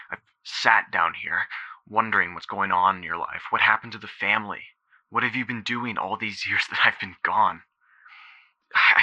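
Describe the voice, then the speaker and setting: An actor playing a guilt-ridden, trapped dead man in a horror game, talking in a halting, weary, confiding way with swearing, like a desperate older brother. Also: deep voice, bright, forceful tone, no echo, exaggerated voice. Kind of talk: horror game phone-call voice acting.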